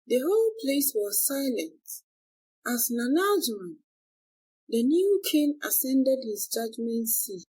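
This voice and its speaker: A woman narrating a folktale from a script in a deliberate, expressive storytelling manner. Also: on the high side, husky, rough recording.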